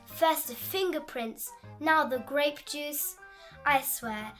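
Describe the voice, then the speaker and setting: A woman's voice: sits high, slow, faint music behind. A woman voicing a fussy, dramatic, easily panicked male frog in a children's storybook, with theatrical, scolding delivery. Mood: panicked, despairing. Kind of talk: animated children's storybook read-aloud.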